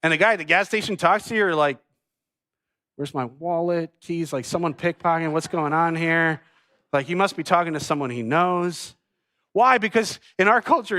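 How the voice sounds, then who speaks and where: A middle-aged man from New England preaching a sermon, telling stories and acting out bits of dialogue with lively energy. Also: fairly high pitch, dry recording, clean studio sound. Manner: animated storytelling with acted-out dialogue. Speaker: pastor. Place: church stage.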